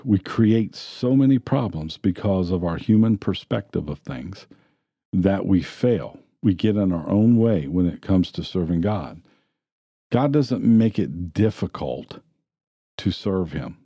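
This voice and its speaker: A man with a low, very dark and mellow voice delivers a sermon, walking his listeners through scripture stories in an unhurried, plain manner. The sound is dry, with little room around it. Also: breathy edge, no echo. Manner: plain, steady preaching with storytelling. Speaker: preacher. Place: church sanctuary.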